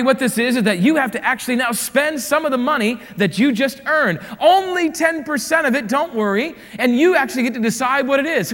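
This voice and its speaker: A man putting on the voice of a slick, upbeat male game show host, in booming showman patter. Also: a bit bright, in a large hall.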